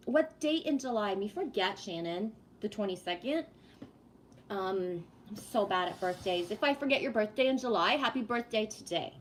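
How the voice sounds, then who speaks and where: A woman's voice: medium pitch, expressive, moderate pace, slight background noise, poor audio. A woman in her fifties hosting a livestream, chatting casually and greeting viewers while talking about spiritual topics. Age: 50s. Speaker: livestream host.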